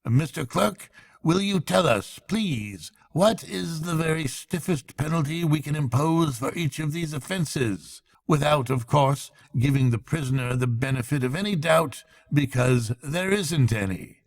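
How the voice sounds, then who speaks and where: A man voicing a cheerful, pompous magistrate in a storybook, speaking formally with deliberate emphasis and relishing harsh judgement. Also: on the high side, vocal fry, no room sound, pitched above the performer's own voice. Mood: cheerfully severe.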